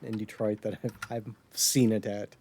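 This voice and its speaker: An American man chatting casually as a podcast host, somewhat halting when he describes things. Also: on the low side, little pitch movement, darkish tone, slightly rough. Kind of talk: casual podcast chat about retro gaming.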